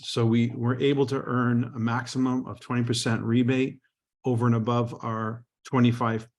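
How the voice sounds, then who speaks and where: Man hosting a team call; middle pitch, coaching and encouraging, restarts often. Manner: explanatory, encouraging, frequent restarts. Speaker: host of a sales team call.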